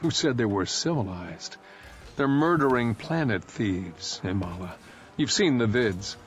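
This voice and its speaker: A man's voice: fairly low pitch, expressive, measured pace. A man reading aloud voicing a young male soldier who is blunt and dismissive. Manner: dismissive, matter-of-fact.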